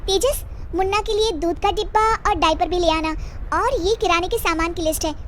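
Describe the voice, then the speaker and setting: Woman voicing a very high-pitched, meek young daughter-in-law. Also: normal speed, fairly bright tone, slight background noise. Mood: gentle concern. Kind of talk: Hindi cartoon family story.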